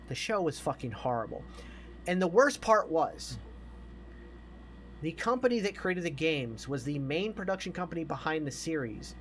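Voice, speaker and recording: A man's voice: on the high side, fairly bright tone, a little hiss or hum. A man chatting casually as a podcast host, profane and opinionated.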